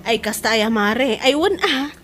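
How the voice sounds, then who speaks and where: A woman's voice: on the high side, animated, measured pace, a bit bright, soft background music. An actress playing a village mother in a comedy radio drama, speaking with an Ilocano accent. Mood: polite. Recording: noisy background.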